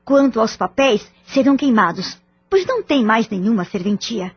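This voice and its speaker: A woman playing a composed, firm middle-aged mother in an audio drama, speaking in a deliberate, theatrical way. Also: fairly high pitch, lively intonation, slightly rough, no room sound. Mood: reassuring. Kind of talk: Portuguese-language audio drama acting. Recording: muffled, lo-fi audio drama track.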